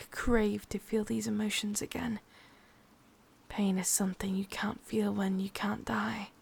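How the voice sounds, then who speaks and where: Woman as a slow, whispering English witch lover. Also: mid-range voice, a little mellow, heavily acted. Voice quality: breathy, husky whisper. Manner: slow, intimate, teasing. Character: sadistic, possessive, doting immortal witch lover. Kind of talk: whispered ASMR roleplay.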